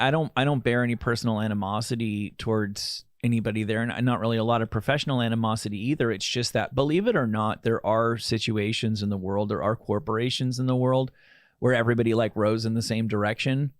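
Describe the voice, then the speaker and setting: A man with a mid-pitched voice co-hosts a talk show in casual, candid conversation. He feels his way toward each point, stopping and restarting phrases. The recording is dry and close, free of room sound. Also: a little fast, dry recording.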